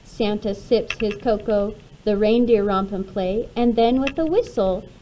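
A woman reading a rhyming children's picture book aloud in an expressive, measured way. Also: medium pitch, a little hiss or hum, low-quality recording. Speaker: storybook reader.

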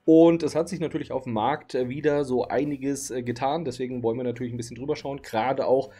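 A man's voice: medium pitch, fairly even intonation, fast talker, warm tone, husky. A man in his thirties hosting a casual card market video from his home streaming room, chatty with lots of fillers, his voice tired late at night. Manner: chatty, frequent fillers, easygoing. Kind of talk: casual market commentary to camera.

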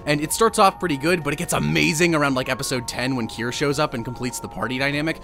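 A man with a slightly high voice hosts a casual outro. He is enthusiastic and earnest, speaking over light music. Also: fast talker, gravelly, fairly bright tone. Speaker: host, animator. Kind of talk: casual thank-you outro to viewers.

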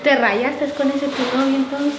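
A woman's voice: high-pitched, flat delivery, measured pace, reverberant, over music. A young woman playing a curious school friend who asks questions, in a casual web drama.

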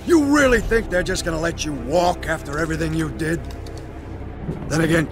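An actor playing a volatile American mafia don in a crime game, taunting and full of contempt. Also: male voice, on the high side. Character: sneering, volatile mafia don, betrayed and furious. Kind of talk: cinematic crime game acting.

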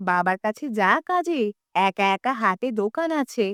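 A woman's voice: medium pitch, very animated, moderate pace, a bit bright, smooth, dry recording, studio quality. A woman playing a concerned, nagging village mother in a cartoon, coaxing and chiding. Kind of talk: cartoon dialogue acting.

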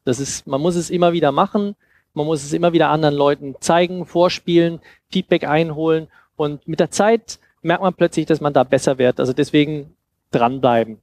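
Guy in his 30s, slightly high creaky voice, explaining stuff casually on a headset mic. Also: a little fast, a little mellow. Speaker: speaker at a festival talk. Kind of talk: informal talk and audience Q&A. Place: small dim lounge room at a festival.

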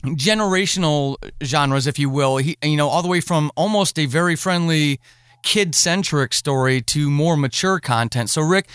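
A male podcast host with a fairly high, very smooth voice in a dry recording. He chats casually with his guest and listeners. His delivery is earnest and encouraging. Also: normal speed, a bit bright, no room sound. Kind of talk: casual conversational interview.

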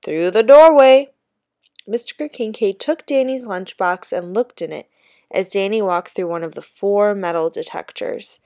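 A woman reading a children's story aloud like a teacher, in a measured, expressive narrating manner. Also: mid-range voice, dry recording, clean studio sound.

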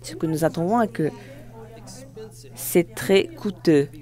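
Interpreter at work, halting phrasing. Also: mid-range voice, warm tone. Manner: halting, phrase by phrase with self-corrections. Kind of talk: live spoken interpretation of a formal speech.

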